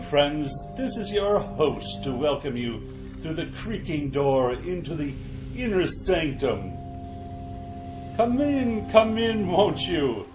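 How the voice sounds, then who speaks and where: A man playing a gleeful, ghoulish horror host in a retro radio drama, theatrical and drawn-out with mock courtesy. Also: on the high side, echoey room, low-quality recording. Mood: creepy welcoming.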